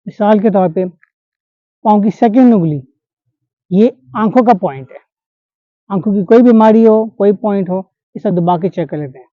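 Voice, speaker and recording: A man's voice: high voice, moderate pace, pauses often, no echo. Man teaching acupressure to a class. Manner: instructive, checks in with students. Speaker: acupressure instructor. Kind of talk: hands-on demonstration class. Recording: dull lo-fi sound.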